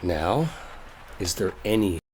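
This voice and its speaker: A man acting a brash, sarcastic young guy in a roleplay audio drama, with a low voice that swings in an animated way. He talks casually and swears, like an exasperated coworker bickering one-on-one, over faint background noise. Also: takes it slowly. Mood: resigned, practical.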